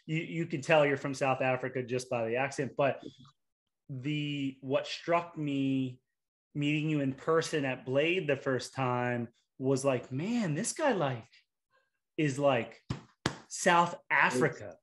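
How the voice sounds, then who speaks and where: A man hosting a casual interview, animated and quick to jump in with questions. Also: medium pitch. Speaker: host.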